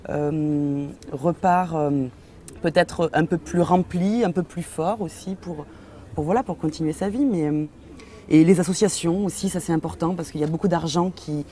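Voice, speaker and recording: A young woman storyteller giving calm, measured interview answers. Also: low-pitched.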